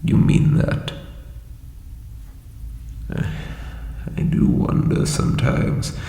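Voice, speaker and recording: A man playing an ancient, insecure undead lich king in a comedic audio roleplay, with a breathy croak and hesitant, pompous phrasing. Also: fairly low pitch, a little mellow, full character voice. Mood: doubtful, hopeful. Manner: hesitant, self-doubting, theatrical formal phrasing. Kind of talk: scripted comedic audio roleplay addressed to listener. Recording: reverberant echoey hall, faint background noise.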